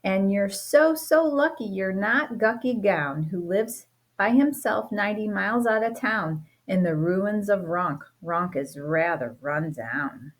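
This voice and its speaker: A teacher reading a rhyming children's book aloud, playful and expressive, with a sing-song lilt. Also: female voice, medium pitch, measured pace, hardly any pauses. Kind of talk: storybook read-aloud.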